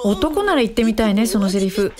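Actress as bossy, upbeat woman matchmaker, lively high voice. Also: a little fast, a crowd in the background. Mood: teasing. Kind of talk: radio drama acting.

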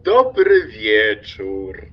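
An actor playing a smug, impertinent railway official in a radio play, talkative and mockingly sweet, with an unctuous drawl. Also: male voice, lowish voice, wildly swooping pitch, extremely slow, exaggerated voice. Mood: sweetly mocking. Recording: slightly dull with noticeable background noise.

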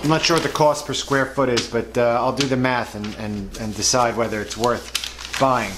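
Man, casual rambling talk, lots of uh fillers, mid pitch, clear music bed underneath. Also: fairly even intonation, moderate pace. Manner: rambling, hesitant, full of uh fillers. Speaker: vlog host reviewing supermarket flyers. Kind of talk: casual unscripted commentary. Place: indoors at a table, voice-over to overhead shots. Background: background music.

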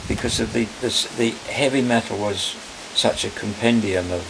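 An older man on a countryside porch, recalling his film career in a rambling, halting way, often searching for names. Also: lowish voice, vocal fry. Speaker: interviewee, film director. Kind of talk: casual reminiscing interview. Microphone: camera mic, medium distance. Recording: loud outdoor background noise.

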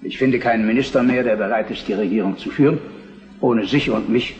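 An older man acting a weary, dutiful king of Prussia in a historical radio drama, grave, measured and formal. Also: medium pitch, a little mellow, soft background music, lightly acted. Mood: grave, resigned. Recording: dull reverberant radio play recording.

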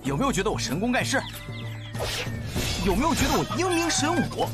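An actor voicing a cocky, excitable young male hero in an animated series, boastful and dramatic. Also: mid-range voice, normal speed, over loud music, natural voice.

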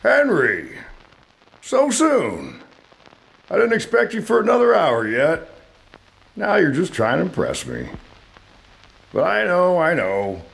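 A man's voice: fairly high pitch, huge pitch swings, takes it slowly, creaky, pauses often, a bit bright, exaggerated voice, low-quality recording. A man playing a weary, regretful aging studio boss in a video game monologue, wistful and theatrical. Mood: warm, knowing.

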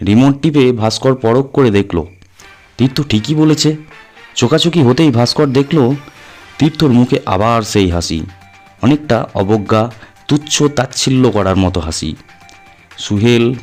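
A man's voice: mid-range voice, darkish tone. A man reading a horror audio story as a narrator, in a dramatic scripted style. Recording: music under the voice. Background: background music.

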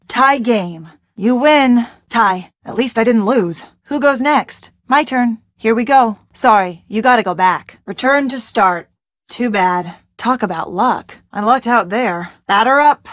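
Casting: young American female adventure-game sidekick, peppy and wry, fairly high voice, dry studio sound. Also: takes it slowly, dry recording, full character voice. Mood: playful. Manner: expressive, colloquial, wry asides. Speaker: video game voice actor. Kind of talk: scripted adventure game dialogue.

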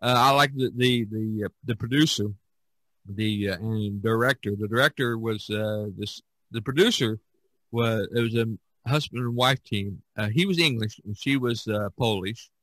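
A man hosting a casual podcast conversation, talking in a halting way with many fillers and restarts. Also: on the low side, fairly bright tone, no room sound. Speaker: podcast host.

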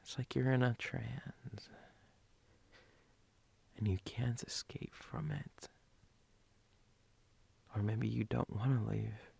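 A man playing a sleepy, teasing, doting boyfriend in an ASMR role-play, husky and breathy, often dropping to a whisper in an intimate, halting way. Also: mid-range voice, fairly even intonation, darkish tone, full character voice.

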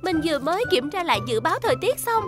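A woman's voice: very high voice, very animated, fast talker, over loud music. A woman voicing a cute, earnest young rescue vehicle in a children's cartoon dub. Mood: concerned.

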